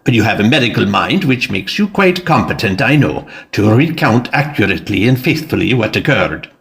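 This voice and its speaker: An actor playing a courteous, gently pompous Victorian gentleman storyteller in an audio play. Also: lowish voice, big pitch swings, normal speed, gravelly, full character voice. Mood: urbane. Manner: urbane, formal, gently pompous. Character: courteous, self-assured Victorian doctor and storyteller. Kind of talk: audio drama dialogue.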